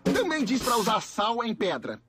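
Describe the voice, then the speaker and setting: A man voicing a fretful, excitable talking dog sidekick in a Brazilian Portuguese cartoon dub. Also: very high-pitched, normal speed, very noisy, heavily acted. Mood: focused.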